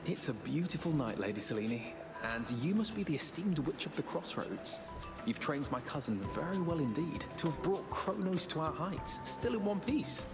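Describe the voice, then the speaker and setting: Game casting: young sun god, suave, courteous, easygoing, loud music underneath. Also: male voice, a little high, sing-song, normal speed. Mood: genial. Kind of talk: scripted game voice acting.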